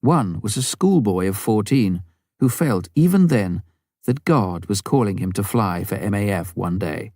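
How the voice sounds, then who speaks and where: A man reading an audiobook as scripted narration, with measured, clearly phrased delivery. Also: lowish voice, expressive, dark tone, no echo, studio quality. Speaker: audiobook reader.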